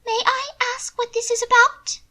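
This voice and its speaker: A woman voicing a fussy, indignant young noblewoman with a shrill, theatrical manner. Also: level tone, moderate pace, bright, forceful tone. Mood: haughty.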